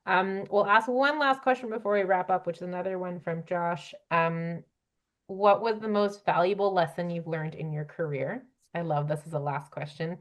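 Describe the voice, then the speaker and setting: A woman's voice: fairly low pitch, fairly even intonation, moderate pace. A woman hosting a panel discussion in a conversational, agreeable way. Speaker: panel host.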